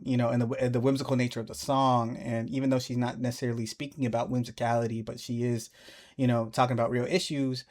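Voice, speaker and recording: Chatty man reacting to a rap video. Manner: animated, jumps into high playful voices when excited. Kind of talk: casual music reaction commentary.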